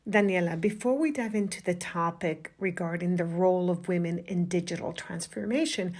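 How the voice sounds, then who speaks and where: A woman hosting a podcast interview, asking questions in a measured, deliberate way. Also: fairly low pitch, darkish tone. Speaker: podcast host.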